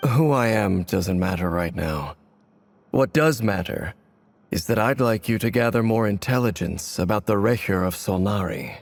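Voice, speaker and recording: A man playing a secretive, ancient masked wanderer in a video game, measured, solemn and guarded, with a gravelly voice. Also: lowish voice, lively intonation.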